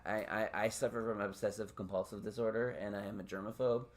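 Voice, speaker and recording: A man's voice: lowish voice, steady tone, quick pace, a little hiss or hum. A man hosting a podcast, chatting casually with a guest in a rambling, self-deprecating, joking way. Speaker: podcast host. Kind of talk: casual interview chat.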